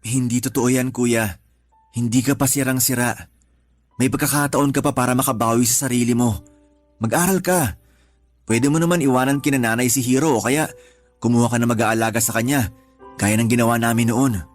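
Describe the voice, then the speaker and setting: A man playing a devoted, supportive younger brother in a radio drama, conversational, reassuring and given to gentle teasing. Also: medium pitch, steady tone, a little fast, pauses often, close to an everyday voice. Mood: reassuring, earnest. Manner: earnest, reassuring, lightly teasing. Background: background music.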